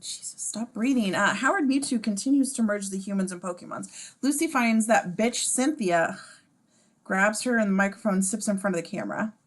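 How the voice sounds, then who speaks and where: Sardonic woman reading stage directions, medium pitch. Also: normal speed. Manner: sardonic, casual. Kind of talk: amateur table read narration with sarcastic asides.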